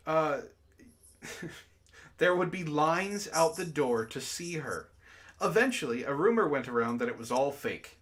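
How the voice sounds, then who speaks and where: A man's voice: medium pitch, a bit bright. A man hosting a book club stream, reading aloud and breaking into exasperated, incredulous asides in casual jokey banter.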